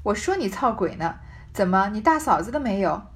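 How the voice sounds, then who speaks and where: A woman reading the part of a teasing, sharp-tongued married noblewoman in a storybook, with pointed, mock-scolding banter. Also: mid-range voice, big pitch swings, fairly quick, lightly acted.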